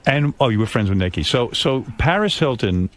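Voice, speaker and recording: A man's voice: mid-range voice, sing-song, a little fast, fairly bright tone. A middle-aged man hosting a radio interview, asking blunt, teasing, probing questions. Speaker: radio show host.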